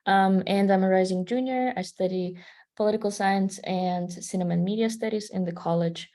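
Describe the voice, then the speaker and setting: A young woman in her twenties from Kazakhstan, answering questions as a student panelist in a relaxed, conversational way. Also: medium pitch, normal speed. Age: 20s. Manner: conversational, thinking aloud between phrases.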